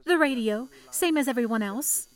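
A woman playing a polite, anxious young telephone operator in an old-fashioned radio drama, with courteous, soft-spoken reassurance. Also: on the high side, moderate pace, close to an everyday voice. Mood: calm, apologetic. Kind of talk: 1940s radio drama acting.

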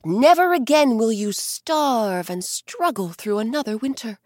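A woman's voice: fairly high pitch, animated, measured pace, darkish tone, no room sound, studio quality, pitched above the performer's own voice. A woman voicing a grand, kind male sky god in a children's story, proclaiming solemnly.

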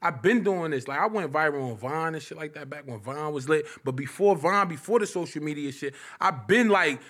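Man in his late twenties comedian guest, highish voice, animated and rambling, casual interview chat. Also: a little fast. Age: 20s. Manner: animated, rambling, lots of filler phrases.

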